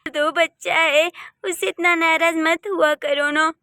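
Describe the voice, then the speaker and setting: A strict young mother scolds sharply and impatiently, as a woman plays her. Her voice sits very high with a bright, cutting edge. The sound is dry and close. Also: level tone, slow, no echo, full character voice. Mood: irritated.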